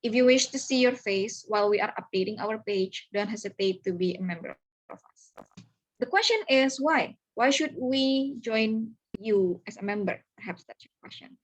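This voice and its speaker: A woman's voice: a little high, moderate pace, highs cut off. A woman, earnestly walking listeners through slides in an online club presentation over a video call. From Indonesia. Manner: earnest, welcoming, talks through slides step by step.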